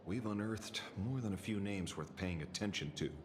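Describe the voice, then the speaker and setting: A man playing a self-assured, dry and direct tough-guy detective in a video game, speaking deliberately and theatrically. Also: lowish voice, slight background noise, close to an everyday voice. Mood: musing. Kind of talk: video game story voice acting.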